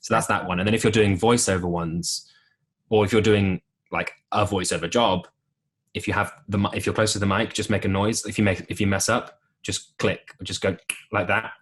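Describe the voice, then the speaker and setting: Deep-voiced young man, husky and low. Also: moderate pace. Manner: relaxed storytelling with many ums and restarts. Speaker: podcast guest, musical theatre performer. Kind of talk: casual interview chat.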